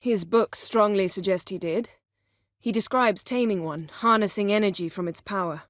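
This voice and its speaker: A woman voicing a haughty, sharp-witted sorceress in a video game, with an imperious, sardonic manner and clipped, articulate delivery. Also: medium pitch, normal speed, dry recording, clean studio sound, close to an everyday voice. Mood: composed, serious. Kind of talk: video game character voice acting.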